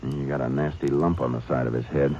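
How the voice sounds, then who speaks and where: An actor playing a steady Texas Ranger, calm and plain-spoken, giving clipped orders and narrating in a 1950s radio drama. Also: male voice, a bass voice, fast talker, a little hiss or hum. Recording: muffled old radio recording with music.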